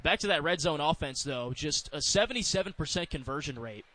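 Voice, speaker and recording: A man calling live American football on radio as play-by-play announcer, in quick clipped phrases that can build in excitement. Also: on the high side, poor audio.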